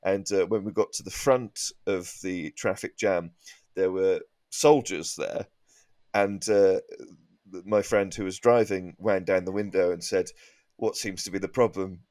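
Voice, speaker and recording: Dry man, low-pitched and close. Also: fairly even intonation, measured pace, a little mellow, dry recording. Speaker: comedian, podcast panel guest. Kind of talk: comic anecdote and commentary.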